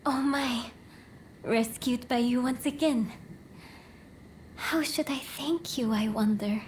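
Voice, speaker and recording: An actress playing a gracious, resolute noble princess in a video game cutscene, with a formal, genteel and measured delivery. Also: female voice, fairly high pitch, a little hiss or hum. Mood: gracious, coy.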